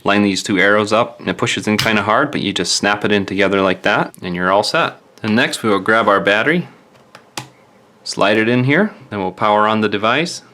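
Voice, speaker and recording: Male tutorial presenter, lowish voice, informal and matter-of-fact, walking viewers through hands-on steps. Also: fairly quick, bright, forceful tone. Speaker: DIY phone repair presenter. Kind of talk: casual step-by-step tutorial narration.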